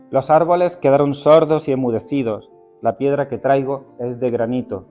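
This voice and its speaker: A man with a mellow, warm voice reads poetry aloud at an even, unhurried pace, pausing thoughtfully between phrases. Faint music sits softly behind him. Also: medium pitch. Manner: unhurried, thoughtful, with hesitant fillers. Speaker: teacher reading poetry at a literary event. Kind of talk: poetry reading with spoken introduction.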